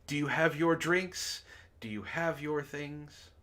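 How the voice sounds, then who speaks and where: A man's voice: on the high side, slow pace, sharp tone. A man hosting a casual group book reading, chatting to his audience and reading aloud in a playfully theatrical, teasing way.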